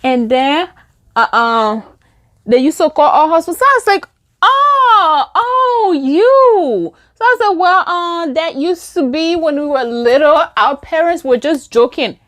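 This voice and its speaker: Young Liberian-accented woman vlogger, casual storytime, fairly high voice, animated delivery. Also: a little slow. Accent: Liberian English. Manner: animated, acts out quoted lines in a higher voice. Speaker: beauty vlogger. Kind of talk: casual storytime while doing makeup.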